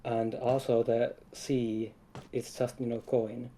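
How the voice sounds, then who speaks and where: Mellow man with fairly flat intonation. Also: mid-range voice, slow pace. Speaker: game developer, livestream guest. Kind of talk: casual explanatory chat. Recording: some background noise.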